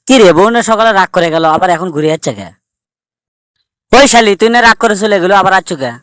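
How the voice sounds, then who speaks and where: A man's voice: high-pitched, fast, fairly bright tone, no echo. A man playing a bossy, bickering village husband in a comic cartoon, with a northern Bangladeshi accent. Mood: gruff, triumphant. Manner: scolding, blunt.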